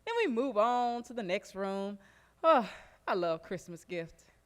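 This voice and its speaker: A lively plantation servant in a theatrical folk monologue. The telling is sly, fond and animated, with folksy turns of phrase and a medium-pitched voice. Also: female voice, measured pace. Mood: exuberant. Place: live stage performance in a recreation hall.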